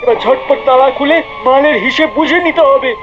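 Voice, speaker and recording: Conniving cartoon shopkeeper man played by an actor, high-pitched, flustered and muttering, over clear music. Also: fairly even intonation, a little fast, dull audio, lightly acted. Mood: hurried. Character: sneaky, flustered adult man, small-time crooked shopkeeper. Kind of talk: cartoon voice acting.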